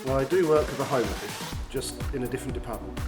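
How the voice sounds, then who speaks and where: A man's voice: mid-range voice, quick pace, natural voice. Echoey room, music behind, male secret agent played by an actor, polite, guarded, coolly approving, radio drama. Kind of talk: audio drama dialogue.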